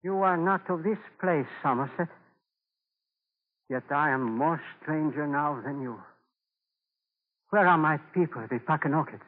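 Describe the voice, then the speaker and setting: A man's voice: a little high, unhurried, pauses often, close to an everyday voice. Actor as solemn, homesick Native man, old radio. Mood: sorrowful resolve. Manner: solemn, simple formal phrasing. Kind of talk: 1940s radio drama acting. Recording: thin, narrow-band 1940s radio broadcast.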